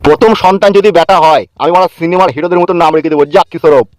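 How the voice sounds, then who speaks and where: A man's voice: fairly high pitch, rapid-fire, darkish tone, natural voice, low-quality recording. A man voicing a doting, touchy young newlywed husband in a comedy cartoon.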